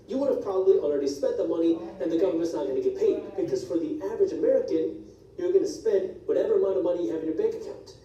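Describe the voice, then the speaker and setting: A man whose first language is Punjabi, briskly walking viewers through budget numbers in a scripted explainer video. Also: sits high, mellow, distant mic. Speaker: personal finance educator. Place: played on speakers in a church hall. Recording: video replayed in an echoey hall, heard from afar.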